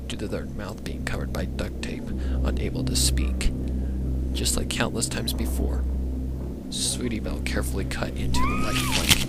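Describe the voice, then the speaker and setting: A man reading a scripted dark story as narrator, in a dramatic, ominous manner. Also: very high voice.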